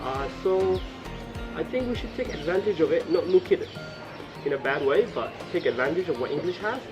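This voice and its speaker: A man's voice: on the high side, expressive, fairly quick. Somali-born man, confident interviewee, music bed. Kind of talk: unscripted interview answers.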